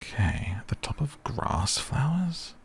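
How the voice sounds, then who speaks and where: A man giving relaxed ASMR gameplay commentary, musing gently and whimsically to the listener. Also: mid-range voice, a little slow, creaky. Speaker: gaming video host.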